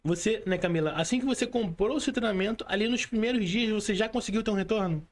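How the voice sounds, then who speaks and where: A man's voice: high voice, quick pace. A Brazilian man speaking as a podcast host, interviewing guests conversationally with an enthusiastic manner.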